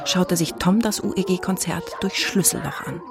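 A woman's voice: lowish voice, normal speed, gravelly. A woman narrating a children's radio play in a scripted storytelling style. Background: music.

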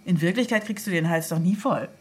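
An actress in a German radio play, playing a quick-witted, affectionately mocking girlfriend in her thirties with a husky, breathy voice. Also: lowish voice. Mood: teasing, challenging. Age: 30s.

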